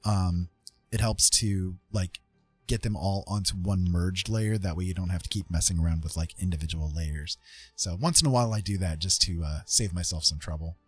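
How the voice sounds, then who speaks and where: A man with a lowish, husky voice talks casually on a live art stream. He thinks aloud in a hesitant, self-effacing way, slipping into gentle tutorial mode. Faint music plays behind him. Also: moderate pace, dry recording. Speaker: streamer and digital artist.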